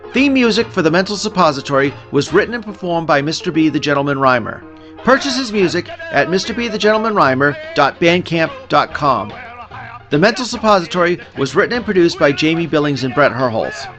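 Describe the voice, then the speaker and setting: A man's voice: fairly high pitch, a bit bright. A man reading scripted podcast credits as an announcer.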